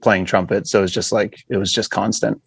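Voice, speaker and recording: A man's voice: lowish voice, little pitch movement, a little fast, vocal fry, husky, dry recording. An American man from western Massachusetts chatting casually as a podcast guest. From western Massachusetts, USA. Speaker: podcast guest, punk band musician. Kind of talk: casual chat.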